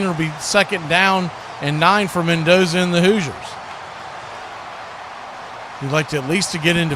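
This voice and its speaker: A male play-by-play announcer calls live football in a high-pitched voice. Clear background noise sits behind his commentary as he follows the game snap by snap.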